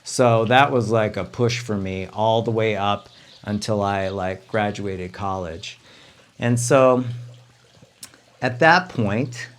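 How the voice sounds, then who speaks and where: Soft-spoken man, lower voice, chatty. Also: slow pace. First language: Italian. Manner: relaxed and conversational, with frequent ums. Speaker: winery owner. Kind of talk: casual chat to camera.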